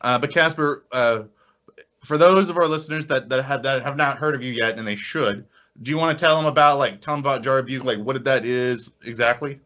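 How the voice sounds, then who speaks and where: A man's voice: mid-range voice, a little fast, muffled recording. A man co-hosting a podcast in casual, enthusiastic chat, stammering and repeating words as he goes. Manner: excitable, stammering with frequent repeats. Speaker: podcast co-host.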